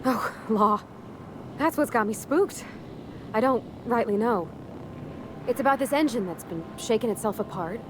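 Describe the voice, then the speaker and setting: A woman voices a sweet, shy young mechanic from a video game, with a rural Southern American accent. She talks in a folksy, hesitant and earnest way. Clear engine-like ambient noise sits behind her. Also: fairly high pitch, expressive, normal speed, pauses often, natural voice. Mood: nervous, smitten. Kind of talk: video game character acting.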